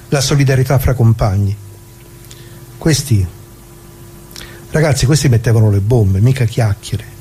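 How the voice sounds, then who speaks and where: A man's voice: medium pitch, dark tone, slight background noise, close to an everyday voice. An actor playing a plain-spoken left-wing worker who recalls the past in a colloquial theatrical monologue, putting questions to his listeners. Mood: reflective, troubled. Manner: conversational, rhetorical questions to listeners. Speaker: narrator of radio drama.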